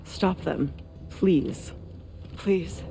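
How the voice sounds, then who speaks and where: Casting: determined woman fighter in a game drama; slow, gravelly, dark-toned and grave, faint music behind. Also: on the low side, heavily acted. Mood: pleading. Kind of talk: dramatic video game cutscene dialogue.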